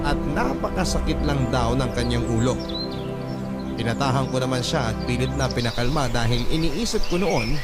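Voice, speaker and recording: A sorrowful middle-aged husband played by a man tells his story in confessional radio drama narration. The mid-pitched voice is earnest, with loud music underneath. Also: lightly acted.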